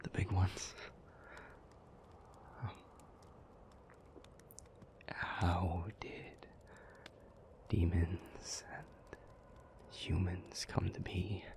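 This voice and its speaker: Man voicing male demon, low pitch, half-whispered, breathy, unhurried halting delivery, weary, gentle, earnest, audio roleplay. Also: monotone, full character voice. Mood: wistful, reflective. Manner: slow, halting, earnest, with long pauses. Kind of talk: intimate scripted audio roleplay.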